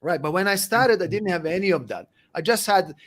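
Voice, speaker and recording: Emphatic high-voiced man, Serbian native. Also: brisk. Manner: energetic, emphatic, repeats "right?" and "you know what?". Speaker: guest speaker and coach. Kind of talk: interview answers, motivational.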